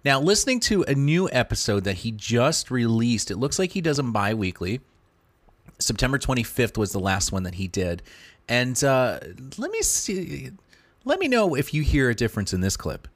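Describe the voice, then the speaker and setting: A man's voice: medium pitch, very animated, moderate pace, no echo. A man reviewing a podcast in casual commentary, enthusiastic and often repeating and restarting words.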